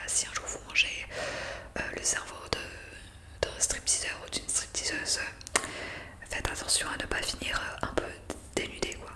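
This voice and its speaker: A woman speaking in a breathy whisper for an ASMR roleplay, explaining things softly with halting hesitations. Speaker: ASMR creator playing a counsellor for new zombies.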